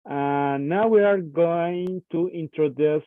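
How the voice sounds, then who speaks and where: A man from Ecuador with an Ecuadorian Spanish accent, a native Spanish speaker moderating an online training. He introduces the next speaker, halting now and then as he searches for words. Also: on the high side, no room sound. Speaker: session moderator. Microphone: video call. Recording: dry, tinny phone-like line.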